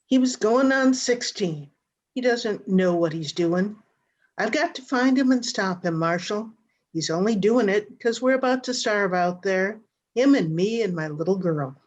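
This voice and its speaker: A muffled, low-fidelity recording of a woman acting a poor frontier widow in a radio western. Her voice sits at a medium pitch, hesitant and worried, with plain country phrasing and an apologetic manner. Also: animated, a little slow. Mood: worried, pleading. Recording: dull, lo-fi video-call audio.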